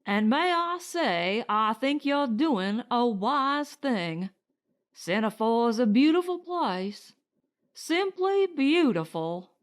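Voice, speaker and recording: Woman voicing slow, smooth-talking crooked male director. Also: on the high side, sing-song, voice pushed up. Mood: smugly amiable. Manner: slow, wooden, feigned politeness. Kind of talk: audiobook character dialogue.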